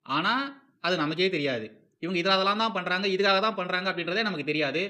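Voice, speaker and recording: A man's voice: high voice, quick pace, sharp tone. A man with a Tamil Nadu accent giving informal, opinionated video commentary, emphatic and repetitive, asking rhetorical questions and mixing in English phrases. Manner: mixes English phrases into talk. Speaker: host of a film commentary series.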